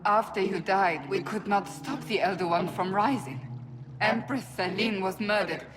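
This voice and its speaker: An actress plays a devout warrior woman broken by grief in a fantasy game. Her mid-pitched voice is solemn and intense. Clear ambient noise runs behind it. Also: moderate pace, big-room sound, natural voice. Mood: grave. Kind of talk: dramatic fantasy game dialogue.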